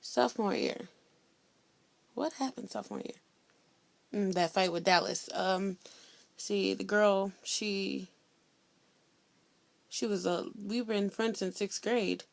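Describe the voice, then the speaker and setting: A teenage girl from Indiana telling a story in a blunt, sassy, chatty way, loud-voiced and full of slang. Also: mid-range voice, slow pace, gravelly, pauses often, no room sound. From Indiana, USA. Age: teens. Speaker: vlogger. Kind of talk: casual storytelling vlog.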